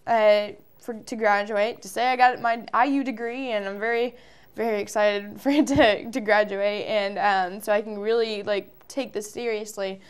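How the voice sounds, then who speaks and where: Casting: young American woman, early twenties, smooth clear voice, chatty informal interview guest. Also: medium pitch, steady tone, normal speed. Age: early 20s. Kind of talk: casual interview answers.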